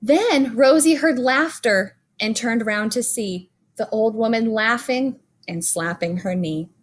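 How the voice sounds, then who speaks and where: A woman with a fairly high voice reads a children's story aloud at a very slow pace. Her delivery is expressive and deliberate, with dramatic pauses and stressed words, in the style of a bedtime read-aloud. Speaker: storyteller reading a children's picture book aloud.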